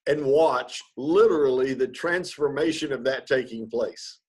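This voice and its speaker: A man hosting a podcast interview in a casual, informal and somewhat halting, effusive conversational way. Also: medium pitch, a little mellow, breathy edge.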